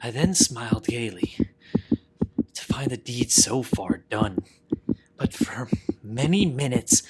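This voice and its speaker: A man giving a dramatic, theatrical reading of a Gothic horror story as an unhinged, nervous murderer, in a breathy, whispery voice with intense, deliberate delivery. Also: mid-range voice, rough recording. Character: unhinged, nervous, insistent murderer telling his tale. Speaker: narrator, amateur dramatic reader.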